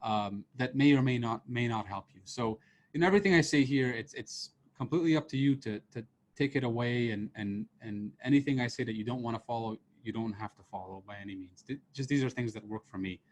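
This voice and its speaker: A man in his thirties, originally from Serbia, talks students through his slides on a video call with his camera off. His voice sits at an ordinary middle pitch. He speaks loosely, with plenty of fillers and restarts, in an easy lecturing tone. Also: brisk. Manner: casual, many fillers and restarts. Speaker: professor giving a seminar. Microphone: computer mic on a video call, camera off.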